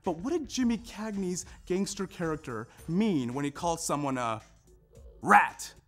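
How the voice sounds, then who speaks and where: A man performing a scripted narration in a readers theater style, with emphatic, deliberate phrasing. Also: a little high, animated, a bit bright, faint music behind. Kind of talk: scripted narration, staged recitation.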